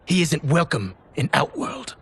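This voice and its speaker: An actor playing a threatening, taunting henchman soldier in a game cutscene, with a gravelly voice. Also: a little high, wildly swooping pitch, measured pace, heavily acted. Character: menacing traitorous soldier, loyal henchman of a usurping general. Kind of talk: dramatic video game cutscene acting. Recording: loud game music over the voice.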